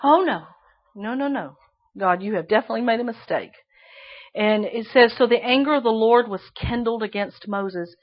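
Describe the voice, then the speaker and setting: A woman preaching a sermon with a shrill voice, emphatic and repeating phrases for stress. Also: normal speed, highs cut off.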